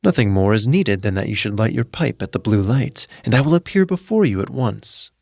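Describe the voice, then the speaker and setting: A man's voice: fairly low pitch, sing-song, fairly quick, vocal fry, a little mellow, no room sound, full character voice. Man as a deferential little magic dwarf. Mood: obliging. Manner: deferential, formal. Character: little male dwarf, eager obedient magical servant.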